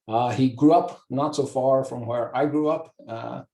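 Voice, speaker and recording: Irish host introducing, mellow voice, echoey room. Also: male voice, mid-range voice, a little slow, creaky. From east of Ireland. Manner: warm, chatty, hesitant with fillers. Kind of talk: seminar introduction.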